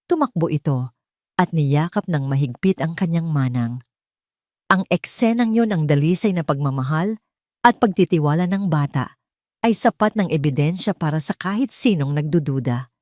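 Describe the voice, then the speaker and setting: Low-pitched Filipino woman narrating a story. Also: dark tone, dry recording, clean studio sound. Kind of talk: scripted dramatic audio story narration.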